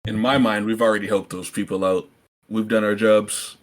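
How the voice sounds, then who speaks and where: A man with a somewhat low voice chats as a player in a tabletop roleplaying game. His delivery is casual, wry and flippant. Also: flat delivery, moderate pace.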